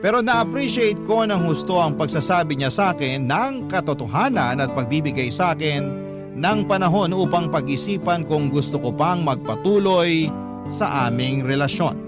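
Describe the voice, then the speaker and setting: A man's voice: on the high side, lively intonation, moderate pace. A man reading a first-person love story as dramatic scripted radio narration. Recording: loud guitar music under the voice. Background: prominent guitar music.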